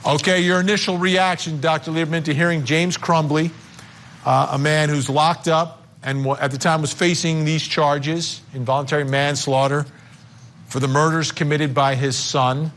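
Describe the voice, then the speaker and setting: A man hosting a live legal news interview, speaking in emphatic, deliberate phrases. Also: on the high side, steady tone, fairly bright tone. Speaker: TV news host.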